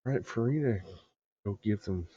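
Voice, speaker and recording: A man giving casual live commentary as he streams a game, with a husky voice. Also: on the low side, normal speed, dark tone, no room sound, lo-fi sound. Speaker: livestreamer. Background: faint game music.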